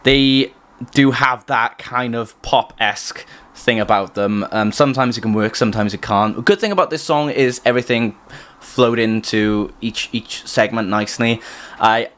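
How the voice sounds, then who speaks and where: A man co-hosting a podcast, reacting casually to music and explaining his opinions in a halting, searching way. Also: medium pitch, fairly bright tone. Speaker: podcast co-host reviewing songs.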